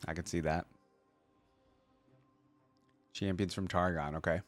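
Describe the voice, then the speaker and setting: A man's voice: sits low, steady tone, measured pace, soft background music. A man hosting a reaction video, commenting casually in short, laid-back remarks as he muses aloud.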